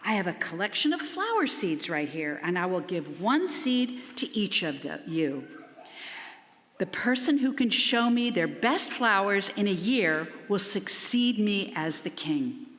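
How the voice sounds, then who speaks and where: A woman's voice: medium pitch, big pitch swings, measured pace, a bit bright. A woman storyteller voicing an aging, kindly king who makes formal, grand announcements. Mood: solemn announcing. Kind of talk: storytelling character voice. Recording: long hall reverb.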